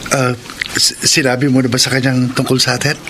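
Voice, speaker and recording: A man's voice: mid-range voice, monotone, brisk, noisy background, close to an everyday voice. A man playing a polite, ardent young Filipino college suitor in a radio drama. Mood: eager. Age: young adult.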